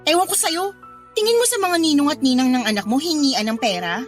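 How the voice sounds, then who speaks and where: An actress playing a worried, exasperated pregnant young wife arguing in a radio drama. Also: female voice, sits high, lively intonation, fairly quick. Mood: annoyed. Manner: exasperated, scolding, argumentative. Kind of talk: Tagalog radio drama acting. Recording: music bed behind the voice.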